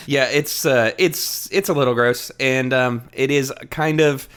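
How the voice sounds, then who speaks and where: A man's voice: medium pitch, fairly bright tone, clean tone. A man hosting a casual podcast discussion, talking in a wry, jokey and profane manner. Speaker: podcast host.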